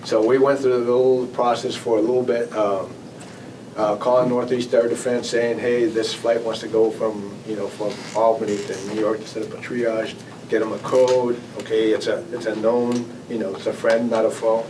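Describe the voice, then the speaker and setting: A man giving an informal talk as an air traffic control manager, conversational and halting, often repeating and rephrasing his words. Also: fairly low pitch, level tone. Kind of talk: informal presentation with audience questions. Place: club meeting room. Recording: distant mic, noisy meeting room.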